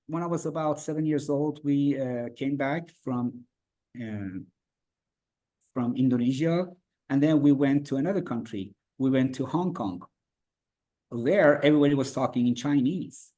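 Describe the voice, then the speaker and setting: A man from Iran, a native Farsi speaker, answering interview questions in halting English with many fillers and restarts. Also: a little high, dark tone. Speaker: guest.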